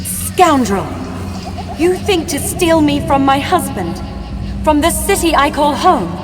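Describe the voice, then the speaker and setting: Defiant captive lady, high voice, indignant, declamatory, fantasy video game, clear background music. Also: sing-song, slow pace, full character voice. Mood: outraged. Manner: dramatic, declamatory, scornful. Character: proud, defiant captive elven noblewoman, indignant wife.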